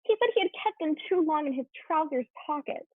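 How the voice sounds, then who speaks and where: A woman's voice: high-pitched, animated, fairly quick, dry recording. A woman playing an opinionated, scornful teenage schoolgirl in a dramatic reading, on a thin, phone-like recording. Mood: scornful, gossipy. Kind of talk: dramatic reading of a period play. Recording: thin phone-like sound, very dry.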